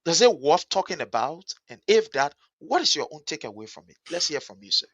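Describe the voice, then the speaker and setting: A male talk show host leads a live political discussion. His voice sits a little high. The sound is very dry and somewhat muffled, like a lo-fi stream. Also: very animated, brisk, a bit bright, husky, dry recording.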